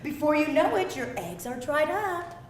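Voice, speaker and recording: A woman's voice: high-pitched, very animated, normal speed, a little hiss or hum. A woman playing a pushy, gushing, nosy acquaintance at a screenplay table read. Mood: pushy, knowing. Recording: echoey room, distant mic, faint ambience.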